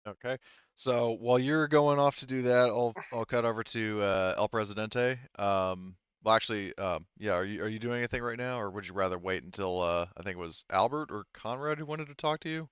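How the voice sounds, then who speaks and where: A man working as game master, narrating casually in a halting, conversational way and checking in with his players. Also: lowish voice, a bit bright, smooth, no room sound, muffled recording.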